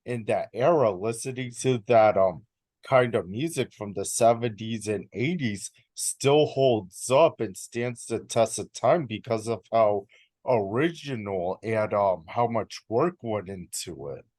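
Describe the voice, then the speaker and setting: Young male interviewer, slow and hesitant, dry close recording. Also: on the low side, a little mellow, no echo. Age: young adult. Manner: hesitant, many ums. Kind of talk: reading numbered interview questions.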